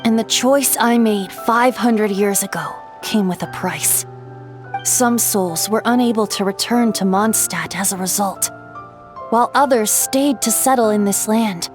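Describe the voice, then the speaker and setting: A woman's voice: medium pitch, measured pace, natural voice. A woman voicing a playful, wistful ancient spirit in the shape of a youthful bard in a video game, with a lilting, teasing, theatrical delivery. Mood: solemn, reflective. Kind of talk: scripted game character storytelling. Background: background music.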